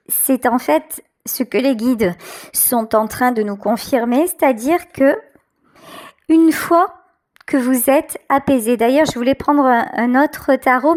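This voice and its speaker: A woman giving an intuitive tarot reading to her viewers, often pausing and searching for her words. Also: high voice, darkish tone. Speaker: tarot reader, channel host.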